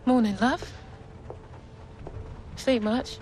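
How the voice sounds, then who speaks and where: Slow-spoken mum. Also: female voice, on the high side, fairly even intonation. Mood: gentle, caring. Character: firm, loving mother of a teenage son. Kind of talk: naturalistic video game cutscene acting.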